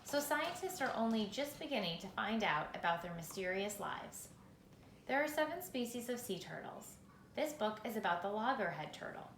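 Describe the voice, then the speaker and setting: A woman reading a children's picture book aloud in a measured, expressive storytelling manner, with pauses for effect. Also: mid-range voice. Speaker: reader. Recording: roomy echo, faint background noise.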